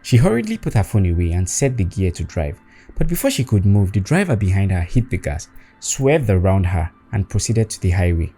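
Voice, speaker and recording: Deep, mellow male storyteller. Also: big pitch swings, fairly quick, husky, soft background music. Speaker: storyteller, host. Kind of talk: scripted spooky story narration.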